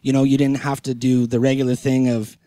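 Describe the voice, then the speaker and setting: Mellow-voiced man, slangy casual chat. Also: mid-range voice, flat delivery, brisk, no echo. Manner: slangy, laid-back.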